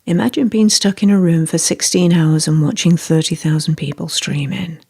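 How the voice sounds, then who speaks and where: A meditation guide reading a scripted guided meditation in a soft, breathy, measured and deliberate way. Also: medium pitch, steady tone.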